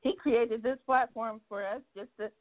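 A woman's voice: a little high, steady tone, moderate pace. A woman from South Carolina hosts a talk show, enthusiastic and chatty as she asks her questions. Her voice comes through a narrow, phone-like line. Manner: enthusiastic, laughs often. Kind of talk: casual interview chat.

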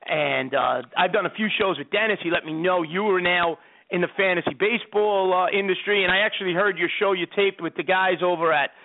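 A man's voice: high voice, a little fast. A man in his thirties hosting a fantasy sports radio show, rambling and repeating words as he talks. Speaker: host. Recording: tinny phone-like sound.

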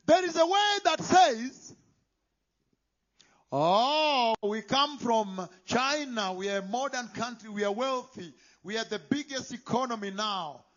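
Man, sermon, emphatic, very high voice, dull lo-fi sound. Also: lively intonation, unhurried. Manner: emphatic, swings from shouting to hushed whispers. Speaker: preacher.

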